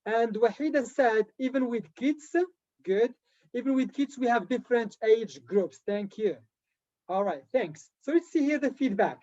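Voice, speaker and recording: A man's voice: very high voice, unhurried. Encouraging male teacher trainer, dull call audio. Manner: lively, encouraging lecturer who repeats key points. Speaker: TESOL trainer. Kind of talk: live online teacher training.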